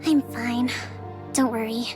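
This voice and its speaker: A woman playing a composed, dutiful young priestess leader in a video game, speaking in a measured, formal, earnest way. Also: on the high side, fairly even intonation, over music, close to an everyday voice. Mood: grave, analytical. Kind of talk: video game character acting.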